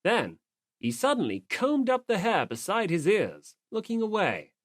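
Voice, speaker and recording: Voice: medium pitch, huge pitch swings, unhurried, dry recording, clean studio sound. An audiobook narrator reading scripted story narration.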